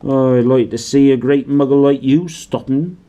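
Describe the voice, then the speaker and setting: A man voicing a huge, warm-hearted but hot-tempered giant groundskeeper in a storybook, blunt and folksy. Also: mid-range voice, fairly even intonation, a little slow, pitched above the performer's own voice, natural voice. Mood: scornful.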